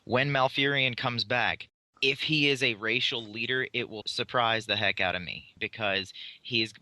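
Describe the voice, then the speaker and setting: A man on a podcast panel giving opinions in an emphatic, measured way, with rhetorical questions. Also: mid-range voice, pushed and bright, no room sound, low-quality recording.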